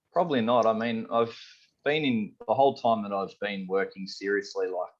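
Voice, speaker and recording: A man from Sydney with an Australian accent, a head chef chatting casually in an interview, rambling with lots of "you know" and "um". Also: deep voice. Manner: rambling, relaxed, many fillers like "you know" and "um". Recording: slightly dull lo-fi audio.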